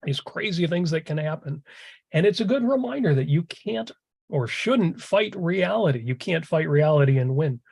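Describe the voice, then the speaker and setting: A man's voice: fairly high pitch, lively intonation, moderate pace, gravelly, a little mellow, slightly rough. A man in his fifties making his case in a casual panel discussion, emphatic and arguing point by point. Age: 50s.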